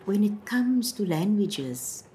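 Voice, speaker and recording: A woman's voice: medium pitch, sing-song, slow, warm tone, a little hiss or hum. A woman from South Africa whose first language is Afrikaans, speaking English with a South African accent and answering interview questions plainly and directly.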